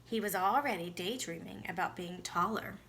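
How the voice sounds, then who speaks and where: A woman's voice: on the low side, moderate pace, a bit bright, slight background noise. A woman teacher reading a children's storybook aloud expressively, with teaching asides and questions to the listener.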